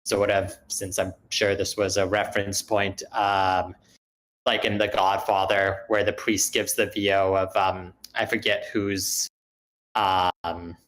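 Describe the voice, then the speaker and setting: Male, fairly low register, thoughtful critique in a group meeting, tentative delivery that loops back to rephrase. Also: level tone, slow, fairly bright tone, low-quality recording. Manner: hesitant, circling back to rephrase points. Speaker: participant giving script feedback. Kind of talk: spoken critique in an online group discussion.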